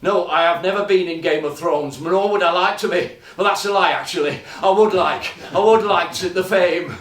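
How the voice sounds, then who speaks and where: An actor playing a brash, flirty pantomime dame who is an ugly sister, teasing the audience in a stage comedy. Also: male voice, high-pitched, normal speed, fairly bright tone. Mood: boastful teasing. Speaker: stage performer. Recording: roomy and distant.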